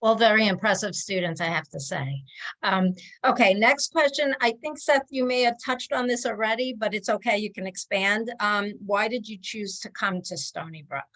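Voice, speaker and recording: A woman moderating a student panel on a video call, brisk and encouraging. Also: medium pitch, fairly bright tone, poor audio.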